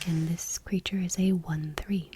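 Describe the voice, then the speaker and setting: A woman speaking in a soft, breathy near-whisper in an ASMR style, gentle and unhurried, close to the microphone. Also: lowish voice, fairly even intonation, a little hiss or hum. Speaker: ASMR channel host. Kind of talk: soft-spoken ASMR, reading trading cards aloud.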